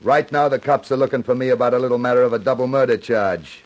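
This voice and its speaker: A man's voice: medium pitch, quick pace, highs cut off, natural voice. An actor playing a menacing, impatient racketeer in a radio crime drama, with an American accent and curt, slangy tough-guy talk. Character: menacing hard-boiled racketeer, tough and impatient gangster boss.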